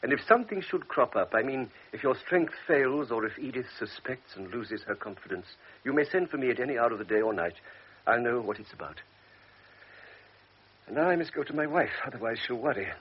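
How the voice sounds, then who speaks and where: An actor playing a calm, authoritative older doctor in a radio drama, speaking in a measured, persuasive way. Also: male voice, medium pitch, breathy edge. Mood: grave. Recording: muffled old radio recording.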